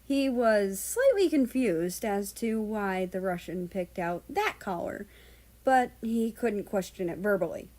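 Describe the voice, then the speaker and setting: A woman reads a story aloud as narrator. Her pitch sits in the middle range for a woman, and she delivers the text as storytelling narration. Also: lively intonation, measured pace, darkish tone, slight background noise. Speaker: fan fiction reader.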